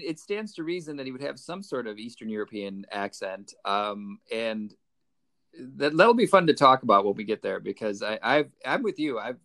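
A man's voice: mid-range voice, pushed and bright. A man co-hosting a podcast, chatting casually and conversationally in a comic book review style. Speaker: podcast co-host.

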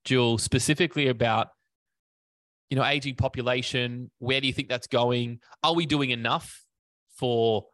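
A man's voice: mid-range voice, steady tone, normal speed, a bit bright, no echo. A man hosting a fitness business podcast, talking casually with many fillers and restarts. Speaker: podcast host. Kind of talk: casual interview.

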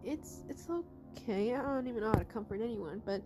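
An awkward girl tries to soothe her friends, stumbling and repeating herself, as a woman puts her on. Her voice is high and soft. Faint music drifts behind. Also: lively intonation, brisk, natural voice. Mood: soothing, awkward. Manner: soft, hesitant, stammering.

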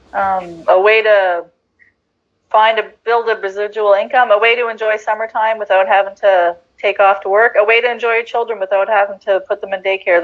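Upbeat middle-aged woman hosting a live stream. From New Brunswick, Canada. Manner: upbeat, pitching, with fillers. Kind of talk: casual livestream hosting. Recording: dull lo-fi sound.

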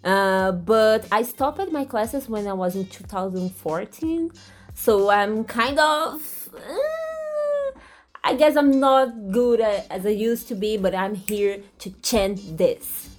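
Slow Brazilian woman learning English. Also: fairly high pitch, animated, soft background music. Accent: Brazilian Portuguese. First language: Portuguese. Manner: hesitant, searching for words, many fillers. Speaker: podcast guest, English learner.

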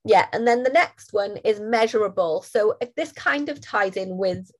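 A woman, co-hosting a podcast in casual chat while nasal and hoarse from a cold. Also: medium pitch, moderate pace, a little mellow. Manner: chatty, laughs often. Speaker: podcast co-host.

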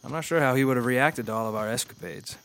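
An ageless, thoughtful gentleman in a radio drama. He is formal and wordy at a middle pitch, earnest and calm, with slight noise behind him. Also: quick pace, gravelly. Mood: reflective.

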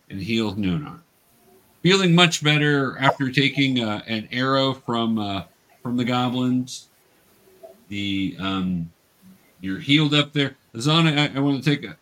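A man's voice: medium pitch, sharp tone. A man explaining and narrating a tabletop game as game master, casually and patiently.

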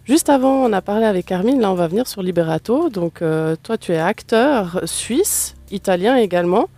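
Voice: mid-range voice, lively intonation, moderate pace, mellow, no room sound. A radio host, asking long, chatty questions of a guest. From Switzerland. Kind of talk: radio interview.

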